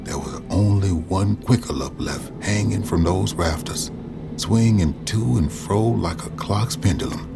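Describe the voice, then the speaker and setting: A man's voice: sits low, a little slow, creaky. An actor playing a rural Southern US man, a weary storyteller narrating his past in an audio drama. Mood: ominous. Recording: music behind the voice.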